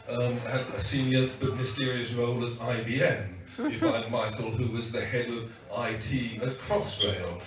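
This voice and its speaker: A man with a hoarse, croaky voice gives an informal, halting launch speech with wry asides. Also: mid-range voice. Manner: halting, many restarts and fillers, wry asides. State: hoarse, losing his voice. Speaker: guest speaker at a book launch. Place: event hall with audience. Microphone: PA microphone, heard from a distance. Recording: echoey hall recorded from far off.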